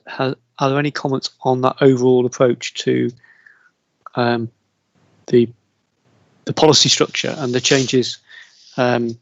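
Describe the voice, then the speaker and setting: A man's voice: mid-range voice, vocal fry, no room sound, dull audio. A man with a British accent chairing a formal planning hearing over a video call, hesitant, with many fillers and repeated words. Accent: British English.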